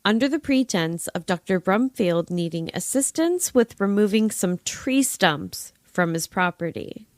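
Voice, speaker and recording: Woman podcaster telling a scripted tale, super expressive pitch, dry close sound, wry n playful w chatty asides. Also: measured pace, few pauses, no echo. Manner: wry, playful narration with old-fashioned flourishes. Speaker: true-crime podcast host. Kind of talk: scripted storytelling with casual chat asides.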